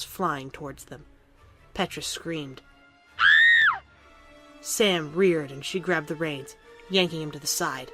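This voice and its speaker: Female audio drama narrator, slightly low voice, scripted storytelling with faint music underneath. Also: fairly bright tone.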